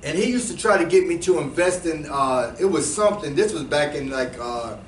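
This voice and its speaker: A man with a slightly higher-than-average voice, explaining things loosely and conversationally to a small group in a room. He restarts his sentences often and mixes in street slang and asides to the audience. He sounds distant from the mic in an echoey space with a faint hum underneath. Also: animated, fairly quick. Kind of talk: casual talk to a live group.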